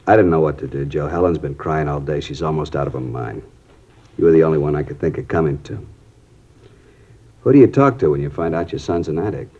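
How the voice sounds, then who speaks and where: An actor playing a distraught middle-aged father who talks in a halting, desperate, strained way, in a 1950s radio drama. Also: extremely low pitch, warm tone. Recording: dull lo-fi 1950s radio broadcast.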